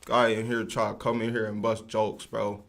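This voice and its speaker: A man's voice: fairly low pitch, flat delivery, normal speed, gravelly. A man hosting a livestream in casual, rambling slang with lots of fillers. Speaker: livestream host.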